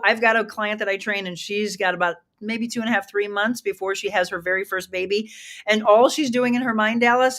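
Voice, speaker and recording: A woman hosting a morning talk show, speaking with a Midwestern American accent in a lively, emphatic way. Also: mid-range voice. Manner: lively, emphatic, reads ads smoothly.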